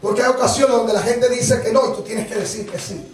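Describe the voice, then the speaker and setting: A man preaching a lively sermon with a Dominican accent, animated and joking with the congregation. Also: very high-pitched, brisk, a crowd in the background. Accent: Dominican Spanish. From Dominican Republic. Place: church hall. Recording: echoey hall from far off, congregation.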